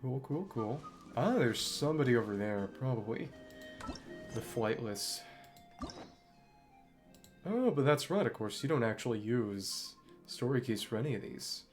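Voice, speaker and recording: A man's voice: mid-range voice, expressive, a little slow, long pauses. A man giving casual video game commentary, thinking aloud and murmuring to himself as he plays. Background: video game music.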